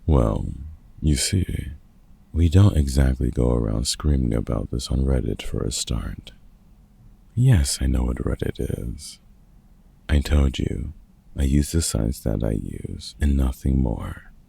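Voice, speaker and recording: A man acting out a gruff, sarcastic older vampire hunter who mentors with grumpy care, husky and dryly teasing, in an audio roleplay. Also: a bass voice, lively intonation, slow, dark tone, heavily acted. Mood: dry, wry. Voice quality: gravelly, husky. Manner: dry, teasing, sardonic.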